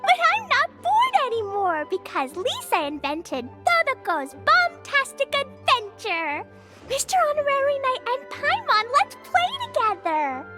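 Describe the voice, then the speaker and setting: A woman's voice: huge pitch swings, unhurried, loud music behind the voice, heavily acted. A woman voicing a cheerful little girl in a video game, childlike, innocent and eager. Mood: playful. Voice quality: childlike, high.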